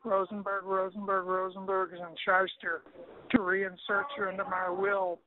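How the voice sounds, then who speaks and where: A man's voice: sits high, completely flat delivery, moderate pace, over a noisy crowd. An older man leaving casual, sardonic voicemail messages, wisecracking and grumbling over a telephone. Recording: tinny phone-line voicemail recording.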